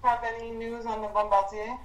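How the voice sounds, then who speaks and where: A woman speaking as a professor leading an informal team discussion on a conference call, in a hesitant, thoughtful, halting manner. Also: fairly high pitch, flat delivery, reverberant.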